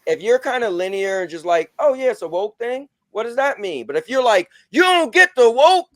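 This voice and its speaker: Male guest, animated storyteller, high lively pitch. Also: brisk. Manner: animated storyteller, acts out quoted voices. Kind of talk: casual podcast chat.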